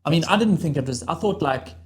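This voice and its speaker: A man's voice: fairly high pitch, lively intonation, fast. Chatty young man. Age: young adult. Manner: chatty, filler-heavy, thinks aloud. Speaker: guest researcher. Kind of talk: casual chat.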